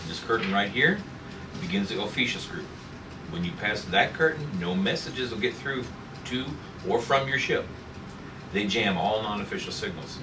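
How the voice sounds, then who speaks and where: A man's voice: fairly low pitch, expressive, normal speed, lightly acted. A man reading the part of a firm but fair government secretary in a retro space adventure table read. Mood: grave. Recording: echoey room, distant mic, clear noise.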